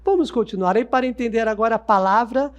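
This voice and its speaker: A man's voice: very high voice, quick pace. A man from Manaus, teaching a recorded sociology lesson and speaking straight to his students, asking questions and answering them himself. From Manaus, Amazonas, Brazil. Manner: lively and didactic, talks straight to students, asks and answers own questions. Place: studio set with Amazon scenery backdrop.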